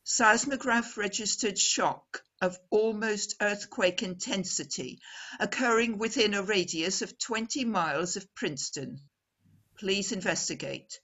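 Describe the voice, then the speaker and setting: A woman playing a poised, earnest radio news reporter in an old-time radio drama, speaking formally and deliberately with dramatic emphasis. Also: mid-range voice, a bit bright. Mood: formal, urgent.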